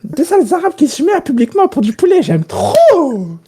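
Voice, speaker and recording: A woman's voice: high voice, big pitch swings, a little fast, mellow. A woman improvising a bossy, teasing young recruiter in comedy role-play, goading in casual slang. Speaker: amateur role-player on a stream.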